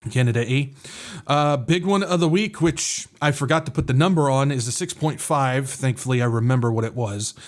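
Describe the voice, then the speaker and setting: A man's voice: medium pitch, normal speed. American guy hosting a podcast, kinda stuffy nasal, rambles with lots of uhs and fixes himself. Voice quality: slightly nasal. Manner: rambling, filler-heavy, self-correcting. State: nasal from drainage. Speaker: podcast host. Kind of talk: casual livestream talk.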